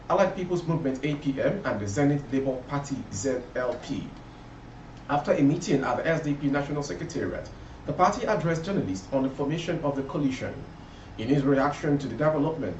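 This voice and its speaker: A man with a Nigerian accent reads a scripted news bulletin in a formal, declarative manner. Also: fairly high pitch. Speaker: news reader. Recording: roomy, muffled, distant, faint background noise.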